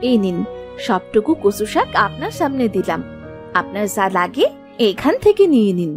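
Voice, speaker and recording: A woman's voice: medium pitch, sing-song, normal speed, lightly acted. Woman acting as young housewife, dutiful, solicitous, swooping melodic delivery, cartoon fairy tale, light music behind. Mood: caring. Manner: respectful, attentive, solicitous.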